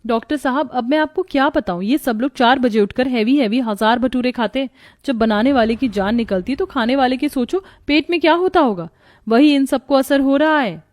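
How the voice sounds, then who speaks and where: A woman's voice: high-pitched, normal speed, darkish tone, no echo, studio quality, close to an everyday voice. A woman voicing a young, overworked, exasperated daughter-in-law in a cartoon story, with a dramatic complaining manner. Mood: firm, pleading. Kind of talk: Hindi cartoon story voice acting.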